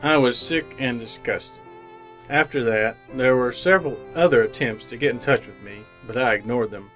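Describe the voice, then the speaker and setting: A man's voice: medium pitch, moderate pace, fairly bright tone, soft background music, natural voice, low-quality recording. An actor playing an earnest, jaded young ad man who narrates his misadventures in old-time radio drama style. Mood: wry, brooding.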